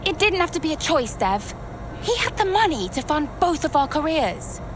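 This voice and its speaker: Young woman racer, bitter and blunt, game cutscene with loud engine and street noise behind. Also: high-pitched, very animated, moderate pace. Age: young adult. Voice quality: direct, guarded, bitter. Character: young female racing driver, resentful toward her family. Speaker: racing driver. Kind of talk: video game cutscene acting.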